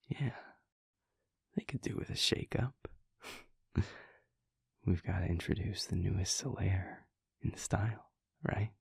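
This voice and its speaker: Man playing a low, creaky, affectionate vampire boyfriend. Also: dry recording, whispered, full character voice. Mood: playful, teasing. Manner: halting, chuckling, relaxed drawl. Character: affectionate, playful male vampire prince boyfriend. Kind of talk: intimate boyfriend roleplay audio, conversational storytelling.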